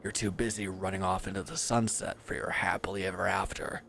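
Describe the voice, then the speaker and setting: A man's voice: sits low, fairly even intonation, brisk, vocal fry, bright, forceful tone, a little hiss or hum, exaggerated voice. A man playing a bitter, wounded villain who is grieving and accusing, speaking with weary sarcasm in a scripted audio roleplay addressed to the listener.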